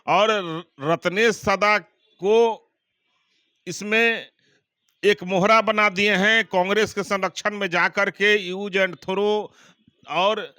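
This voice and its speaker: A forceful, high-pitched man answers as a political spokesman. His delivery is accusatory and repetitive, in a dry, close recording. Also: no echo.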